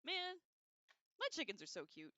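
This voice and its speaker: Bright-voiced woman hosting a casual read-along stream. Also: high-pitched, moderate pace, dry recording. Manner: expressive, informal, slangy, giggly, exclaiming. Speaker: livestream host reading a book aloud with commentary. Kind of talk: casual livestream read-along with chatty asides.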